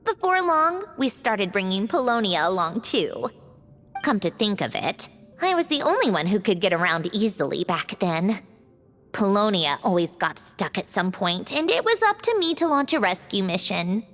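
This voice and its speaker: A woman voicing a self-assured, brisk yet reflective female automaton in an English video game. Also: medium pitch, sing-song, fairly bright tone, soft background music. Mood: wistful. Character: female created automaton, wistful, bossy, proud, fond of puzzles.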